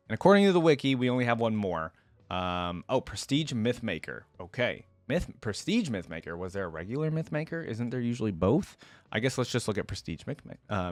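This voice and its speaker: A man's voice: on the low side, no echo. A man giving casual reaction commentary as a video host, talking informally and often hedging or correcting himself. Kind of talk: casual reaction commentary, partly reading aloud from a wiki.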